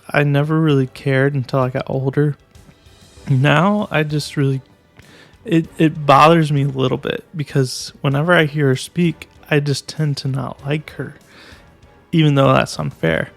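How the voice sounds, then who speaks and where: A young man with a gravelly, creaky voice talks through a casual game review in a flat, level tone. He hesitates and restarts his sentences often, and faint music plays beneath him. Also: mid-range voice. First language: English.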